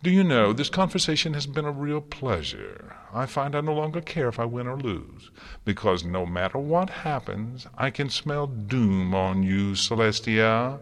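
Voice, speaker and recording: A man's voice: mid-range voice, very animated, unhurried, fairly bright tone, pitched above the performer's own voice, exaggerated voice. A man voicing a mad, gleefully malicious chaos creature in a storybook, theatrical, taunting and crooning. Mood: gloating. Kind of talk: dramatic storybook character reading.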